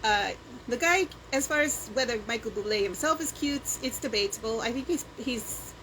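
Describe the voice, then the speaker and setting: A woman's voice: on the high side, lively intonation, moderate pace, pushed and bright, rough recording. A woman with a Scottish-sounding accent chatting casually in a rambling, self-deprecating way, as a home vlogger on a handheld camera. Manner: meandering, self-deprecating asides. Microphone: handheld camera or phone. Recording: clear background noise, handheld home video.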